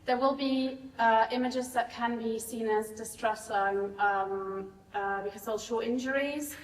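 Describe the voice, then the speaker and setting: Woman host, fairly high voice, halting and filler-heavy, far off in a reverberant lecture hall. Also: fairly even intonation. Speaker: lecture host introducing a speaker. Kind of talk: formal introduction with many fillers. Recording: echoey hall, mic from far off.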